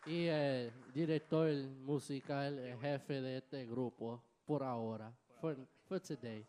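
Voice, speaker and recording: A man on a concert hall stage giving casual thanks and shout-outs into a microphone, switching between English and Spanish. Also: medium pitch, fairly even intonation, slow. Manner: casual, hesitant stage patter. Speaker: bandleader and emcee.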